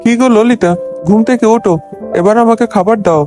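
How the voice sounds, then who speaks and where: A man's voice: high voice, brisk, darkish tone, faint music behind, close to an everyday voice. A man playing a blunt, short-tempered young shopkeeper husband in a cartoon. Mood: exasperated. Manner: scolding, blunt. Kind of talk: cartoon dubbing.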